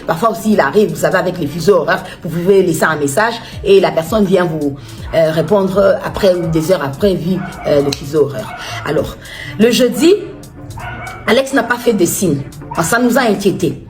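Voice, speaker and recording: A woman from Kinshasa making an anxious appeal as a mother, halting and full of restarts. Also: fairly low pitch. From Congo (Kinshasa). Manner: halting, distressed, many restarts. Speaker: mother of a missing student. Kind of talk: personal appeal video.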